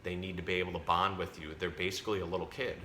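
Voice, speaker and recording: A man's voice: deep voice, little pitch movement, fast talker, bright, forceful tone. A man giving a casual, chatty educational talk for children as a zookeeper, explaining things simply and correcting himself as he goes. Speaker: zookeeper presenting an animal storytime. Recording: roomy echo, faint background noise.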